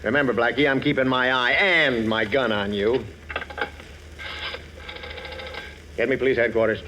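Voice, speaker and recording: An American man playing a gruff, exasperated, sarcastic police inspector in a 1940s-style radio drama. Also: medium pitch, steady tone, brisk, close to an everyday voice. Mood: wary, irritated. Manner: blustering, sarcastic. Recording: muffled, hissy vintage radio recording.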